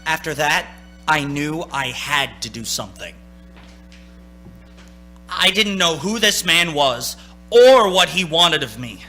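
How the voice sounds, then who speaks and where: A man acting as a haunted young driver in a radio play, unraveling into desperation. His voice is very sharp and tense, swinging widely in pitch. He stammers with theatrical urgency, with faint music behind him. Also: lively intonation, takes it slowly, long pauses, exaggerated voice. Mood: haunted, brooding.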